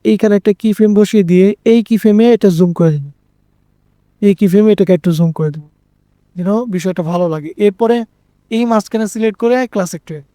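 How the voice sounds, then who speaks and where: High-pitched, mellow Bangladeshi male tutor. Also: fairly quick, dry recording, studio quality. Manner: repeats phrases for emphasis, checks in with listeners. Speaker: animation tutorial presenter. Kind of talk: informal screen-recorded software tutorial.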